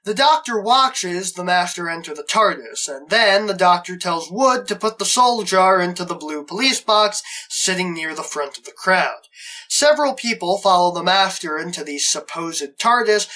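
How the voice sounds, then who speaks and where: A man's voice: high-pitched, bright, forceful tone, low-quality recording. A man hosting a review show, narrating a scripted plot recap.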